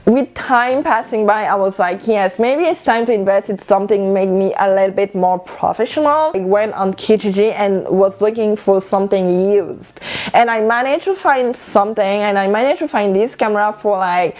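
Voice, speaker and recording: A woman's voice: medium pitch, moderate pace. A young woman whose first language is French, talking casually to camera in a room and gesturing as she speaks. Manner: animated, gesturing with hands while standing. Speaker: vlogger. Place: indoor room with clothing rack. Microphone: lapel mic.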